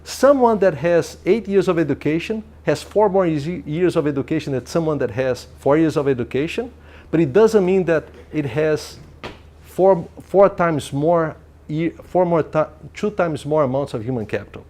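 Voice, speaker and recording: A man with a slightly high voice delivers an academic lecture in a lecture hall. He, thinks aloud and restarts his phrases, and keeps asking "okay?" to check that the room is following. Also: lively intonation, normal speed, darkish tone.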